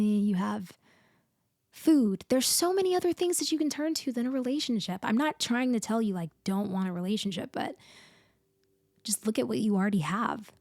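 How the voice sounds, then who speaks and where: An upbeat young female best friend, played by a woman in an ASMR roleplay, with a fairly high voice in a very dry, close recording. She talks in a casual, expressive way and takes her time. She is playful and teasing, and openly affectionate. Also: no room sound. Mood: encouraging. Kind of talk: ASMR roleplay, one side of a conversation.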